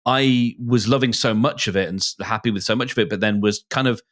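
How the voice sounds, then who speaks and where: Middle-aged man in halting, enthusiastic discussion. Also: on the low side, a bit bright. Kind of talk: casual discussion of books and films.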